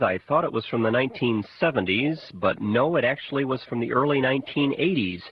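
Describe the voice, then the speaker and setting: A man hosting a radio program, reading scripted narration. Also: medium pitch. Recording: dull radio audio, faint noise.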